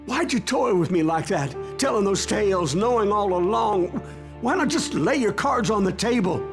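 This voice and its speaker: An actor playing an uneasy saloon drinker with a guilty past in a Western video game, plain-spoken, with an American Western accent. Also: male voice, high voice, lively intonation, normal speed. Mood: pleading, guilty. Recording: guitar music behind the voice.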